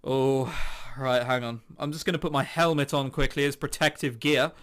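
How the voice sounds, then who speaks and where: A man's voice: medium pitch, steady tone, moderate pace, a bit bright, clean tone. A man doing a playful let's play, reading a visual novel aloud with dramatized lines and jokey, sweary asides. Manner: acts out dialogue in exaggerated voices.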